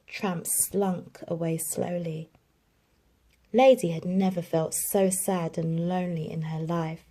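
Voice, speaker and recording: Woman reading a storybook aloud, husky. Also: fairly low pitch. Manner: expressive storytelling. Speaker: children's book reader.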